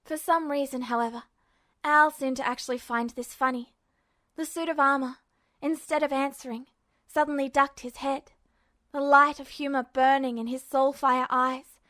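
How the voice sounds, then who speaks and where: High-voiced woman narrating fiction. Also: a bit bright. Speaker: podfic narrator.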